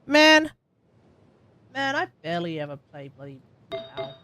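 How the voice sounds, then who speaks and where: A young adult Australian game streamer, rambling casually while playing, musing aloud and chatting to viewers with sudden loud outbursts. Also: mid-range voice, very animated, measured pace, slight background noise.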